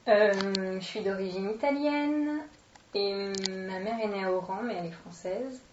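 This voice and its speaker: A woman's voice: mid-range voice, unhurried. A woman in her late twenties with an accent from France, talking casually and hesitantly as she introduces herself in an interview. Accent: French, from France. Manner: informal, hesitant, thinking aloud. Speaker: interviewee, actress and children's entertainer. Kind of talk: casual spoken self-introduction. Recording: roomy, dull, distant microphone, noisy.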